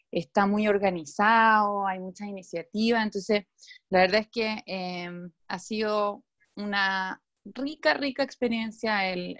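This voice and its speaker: A woman with a Chilean accent speaks in a relaxed, chatty way, full of little pauses and fillers. Her voice sits a little high, and the sound is dry and close with no room around it. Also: dry recording. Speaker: guest, company general manager. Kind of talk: casual video-call conversation.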